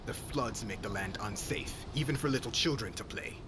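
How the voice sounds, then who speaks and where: A man playing a stern, menacing witch hunter in an ASMR roleplay, speaking formally and commandingly, often in a hushed, threatening way. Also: medium pitch, moderate pace, natural voice. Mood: stern, accusing. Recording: clearly noisy ambient background.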